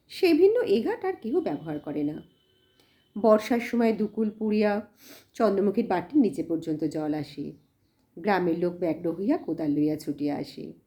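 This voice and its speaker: A woman narrating a literary story in an expressive, measured storytelling manner. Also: fairly low pitch. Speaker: audiobook narrator.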